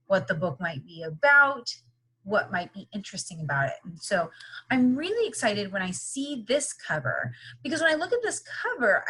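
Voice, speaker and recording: Woman, primary teacher, read-aloud lesson style, very animated intonation, husky edge, simple encouraging questions. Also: a little high, poor audio. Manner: instructive, question-asking, simple encouraging phrasing for children. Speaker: teacher reading aloud to young children.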